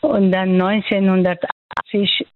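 A woman's voice: mid-range voice, little pitch movement, slow pace, no echo. Woman in her sixties on a phone line. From Afghanistan. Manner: hesitant, many fillers and restarts. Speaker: doctor. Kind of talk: radio interview over the phone.

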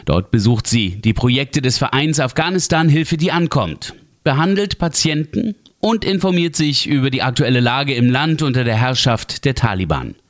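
A man's voice: mid-range voice, expressive, moderate pace. A man presenting a radio programme, reading scripted introductions and links.

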